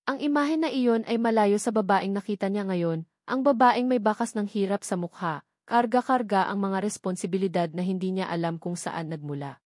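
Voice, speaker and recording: A woman's voice: medium pitch, moderate pace, darkish tone, dry recording, studio quality. Filipino woman narrating a dramatic story. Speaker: audiobook narrator.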